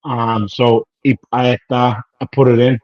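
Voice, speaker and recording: Slangy British-raised bodybuilder, dry lo-fi. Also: male voice, medium pitch, flat delivery, moderate pace, no room sound. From United Kingdom. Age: 30s. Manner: rambling, slangy, acts out quoted dialogue. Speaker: professional bodybuilder guest. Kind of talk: casual interview chat.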